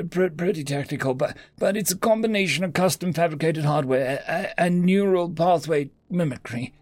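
Casting: a man as a nervous young male engineer, high and swooping, hesitant and pleading, audio fiction. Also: a little mellow, dry recording. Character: frightened, bewildered young male engineer being interrogated. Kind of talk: acted story dialogue.

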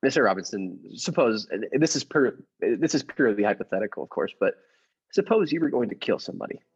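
An actor playing a timid, henpecked little husband in an old-time radio comedy, stammering and fussily polite. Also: male voice, medium pitch, sing-song, fast, husky. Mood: nervous, hesitant. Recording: dull lo-fi audio with music behind.

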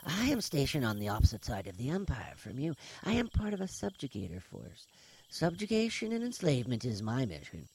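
A man voicing a calm, knowing reptilian alien military commander in an audiobook, with a measured, earnest manner. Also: medium pitch, slight background noise, voice pushed up. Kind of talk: audiobook character dialogue.

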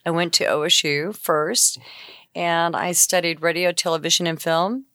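Talkative raspy older woman, low voice. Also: steady tone, moderate pace, bright, forceful tone, no room sound, clean studio sound. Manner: talkative, rambling with fillers. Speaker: interview guest. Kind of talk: casual conversational storytelling.

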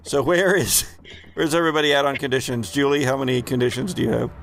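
A man's voice: mid-range voice, fairly even intonation, fast, vocal fry, fairly bright tone. A man casually narrating a tabletop roleplaying game as the game master.

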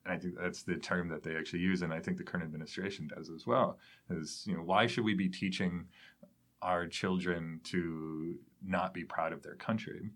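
A man's voice: sits low, steady tone, moderate pace, fairly bright tone. A man hosting an academic interview, conversational and thoughtful, feeling out his phrasing as he goes. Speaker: podcast host, educator.

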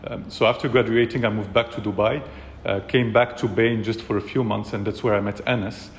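A man from Morocco speaks in a fairly low voice, calmly recounting his career as a podcast guest. He talks reflectively, with frequent "you know" fillers. The recording has a long, roomy reverb and faint background hiss. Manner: measured, reflective, many fillers. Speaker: startup co-founder, guest. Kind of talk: casual interview.

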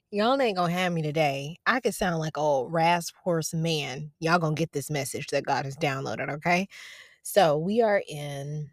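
A woman hosting a devotional podcast, preaching casually from her parked car, talking to listeners as y'all, her voice going a little raspy. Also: low voice, no echo, clean studio sound. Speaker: podcast host.

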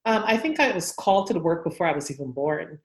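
Woman from Guyana, low voice, unhurried interview talk, echoey room. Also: expressive. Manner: thoughtful, unhurried, with frequent ums and restarts. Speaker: guest herbalist and spiritual healer. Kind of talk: relaxed interview storytelling.

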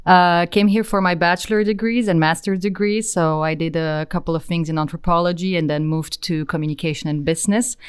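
A woman's voice: on the low side, fairly even intonation, a little fast, few pauses, clean tone. A woman, a career coach speaking in an upbeat, enthusiastic way. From Romania.

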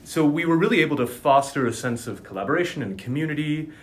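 A man's voice: a little high, lively intonation. A man working as a dialect coach, talking articulately and enthusiastically in an interview. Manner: articulate, enthusiastic, quick bursts of speech. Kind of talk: conversational interview.

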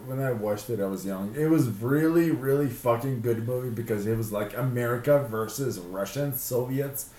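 A man's voice: mid-range voice, normal speed, hardly any pauses, mellow. A man from Ukraine, chatting casually and bluntly, swearing and stammering over repeated words. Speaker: podcast host. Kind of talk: casual chat. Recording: echoey room, clear background noise.

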